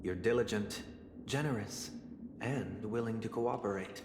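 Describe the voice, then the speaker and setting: An actor playing a composed, stern nobleman in a video game, speaking formally and with measured, polite condescension. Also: on the low side, background noise. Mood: measured, probing. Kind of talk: scripted video game dialogue acting. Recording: echoey hall reverb under loud music.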